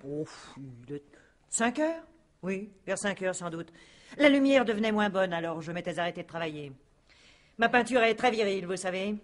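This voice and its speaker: Actress doing a snooty gossipy lady painter in an old style radio mystery, very theatrical, medium pitch. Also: lively intonation, moderate pace, lightly acted. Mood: chatty. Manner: theatrical, pompous, emphatic. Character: self-important, gossipy, disapproving female painter neighbour. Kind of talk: 1950s-style French radio crime drama acting.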